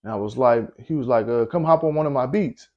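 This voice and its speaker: A young man from Milwaukee talks as a guest in a casual interview, loose and unhurried, full of slang and fillers. His voice sits at an ordinary pitch and is deep in tone. The sound is a little dull and lo-fi. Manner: laid-back storytelling with slang and frequent fillers. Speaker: guest rapper.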